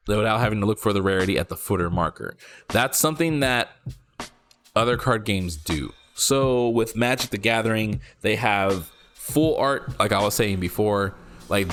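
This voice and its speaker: Man reacting to card art, music under. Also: lowish voice. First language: not English. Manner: thinking aloud, rereads lines, sudden excited outbursts. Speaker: card game content creator. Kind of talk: casual commentary reacting to and reading an article.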